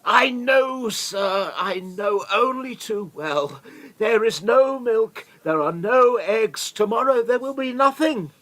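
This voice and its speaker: Man playing a cringing steward, very high-pitched. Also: takes it slowly, fairly bright tone. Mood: desperate, pleading. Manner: obsequious, flustered, pleading. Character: cringing, put-upon male castle steward, servile. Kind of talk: theatrical radio play acting.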